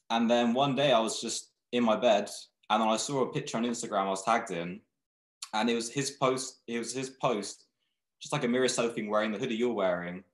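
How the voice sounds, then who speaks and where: Young brand founder, lowish voice, laid-back and rambling, webcam in a roomy-sounding room. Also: male voice. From Thailand, raised in England. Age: young adult. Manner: relaxed, rambling, frequent restarts. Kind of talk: casual video-call interview. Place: small room indoors. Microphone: webcam video call. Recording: echoey room.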